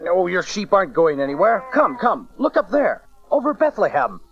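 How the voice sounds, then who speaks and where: A man's voice: sits high, sing-song, moderate pace. An old, slightly dull tape recording with music behind. a reverent shepherd father, full of wonder, his mid-range voice rising and falling widely. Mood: awed. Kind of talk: dramatized audio Bible acting. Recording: dull cassette transfer, faint music behind.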